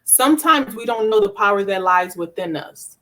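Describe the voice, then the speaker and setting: Female interview guest, medium pitch, earnest and emphatic, conversational motivational faith talk. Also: lively intonation, moderate pace, fairly bright tone. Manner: earnest, emphatic, circles back over key words.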